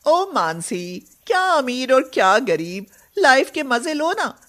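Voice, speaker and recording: Woman putting on a high, breezy young girlfriend. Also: big pitch swings, slow pace, fairly bright tone, full character voice. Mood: teasing. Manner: breezy, casual. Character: easygoing, fun-loving rich young female friend.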